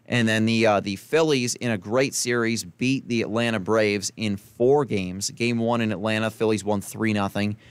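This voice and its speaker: A young man hosts a college radio sports podcast, reading off game times and scores in a loose, chatty way and breaking off for quick asides. His voice is smooth and resonant. The close studio mic sounds dry and tight. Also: lowish voice, fairly even intonation, no echo. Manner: casual, chatty, self-correcting with asides. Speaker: podcast host. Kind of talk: solo sports podcast rundown.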